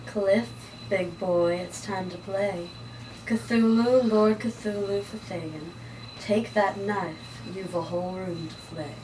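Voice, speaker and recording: A woman's voice: mid-range voice, steady tone, takes it slowly, a little mellow, lightly acted. A woman playing a seductive, sinister jazz singer with occult powers in a table-read drama. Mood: seductive, eerie. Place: room at a script table read. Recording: distant mic, faint background noise.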